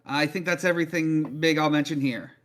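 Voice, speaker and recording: A man's voice: a little high, steady tone, a little fast, noisy background. A man, a podcaster and performer, giving casual outro plugs.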